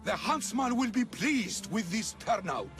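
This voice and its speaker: A man's voice: high-pitched, big pitch swings, a little slow, over music. An actor playing a calculating, menacing male cult recruiter giving formal orders to followers in a video game. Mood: commanding. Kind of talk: video game villain acting.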